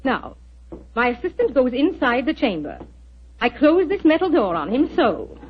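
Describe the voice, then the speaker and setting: An actress playing a poised, self-assured woman scientist in a 1940s radio drama, calm and gracious as she explains her invention, on a lo-fi old broadcast recording. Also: high-pitched, normal speed, husky, heavily acted.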